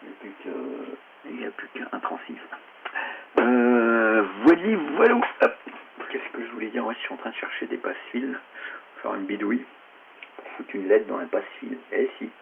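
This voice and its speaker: Through a narrow, slightly crackly ham radio link comes a man. His voice is fairly low with plenty of creak, and he chats casually in slangy, informal language as one operator to others. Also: sing-song, moderate pace.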